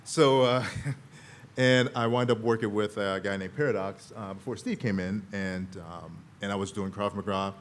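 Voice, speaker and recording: Deep-voiced man rambling on a panel. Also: fairly even intonation, normal speed, slight background noise. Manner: rambling, quotes himself. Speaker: actor and panel guest. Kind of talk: casual panel talk. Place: convention panel room.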